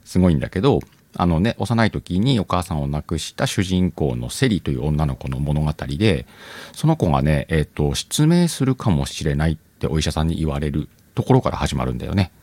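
A man's voice: extremely low pitch, big pitch swings, brisk, darkish tone, dry recording. A man hosting a channel, chatting informally and addressing his listeners as he makes a casual announcement. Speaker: channel host.

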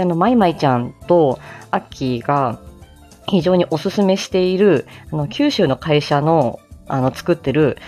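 A woman hosts a casual livestream in a distinctly low voice. Her intonation moves around a lot as she chats in a giggly, self-deprecating way. Faint music plays behind her. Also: a little mellow. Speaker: livestream host and voice actor. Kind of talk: casual morning livestream chat.

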